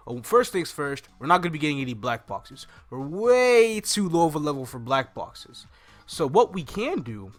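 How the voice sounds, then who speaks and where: A young adult man hosting a casual, animated gameplay walkthrough, speaking close to a desktop microphone in a small room. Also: medium pitch. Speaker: gaming video host. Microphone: desktop condenser microphone close up. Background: game music.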